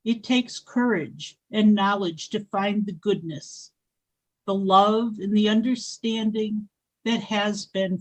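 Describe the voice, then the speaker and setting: A woman playing a solemn, ageless female spirit who guides travelers, in a radio play, speaking in measured pronouncements. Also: mid-range voice, low-quality recording. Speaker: wise spirit. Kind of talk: 1940s-style radio drama acting.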